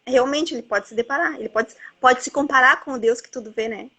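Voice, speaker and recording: A woman's voice: high voice, a little fast, bright, forceful tone, husky. A woman, chatting casually about a book with its author and filling her speech with tag questions and little asides. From southern Brazil border region. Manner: rambling, lots of "né" and "assim, ó". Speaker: bookseller interviewing an author.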